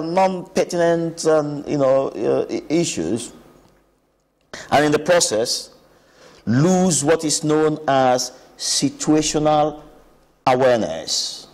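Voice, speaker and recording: A man's voice: a little high, takes it slowly, big-room sound. A man, an aviation expert explaining earnestly on a TV show, often repeating words. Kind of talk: explanatory TV interview.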